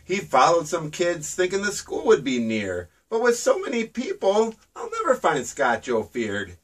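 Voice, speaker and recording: A man's voice: high voice, wildly swooping pitch, measured pace, far from the mic. A man reading his own rhyming children's book aloud in a sing-song storybook manner.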